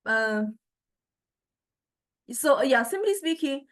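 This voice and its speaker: A woman lecturer giving an informal software demonstration, explaining haltingly and often checking in with listeners. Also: sits high, lively intonation. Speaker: university lecturer.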